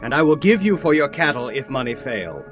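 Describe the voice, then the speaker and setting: A man's voice: mid-range voice, very animated, fairly quick, natural voice. A man voicing a powerful governor who speaks firmly and instructively. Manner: firm, instructive. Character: powerful governor, authoritative younger brother. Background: faint music.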